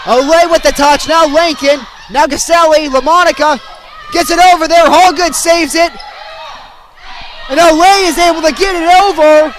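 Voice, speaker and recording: Young guy doing live sports play-by-play, really high voice, punchy short phrases. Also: normal speed, slight background noise. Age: young adult. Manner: excitable, punchy delivery in short clipped phrases. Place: at sports venues, live during games.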